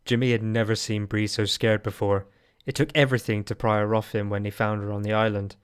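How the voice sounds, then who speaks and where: A man narrates a scripted story in the third person for an amateur audio drama. His voice is slightly low, clean and smooth. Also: little pitch movement, a little fast.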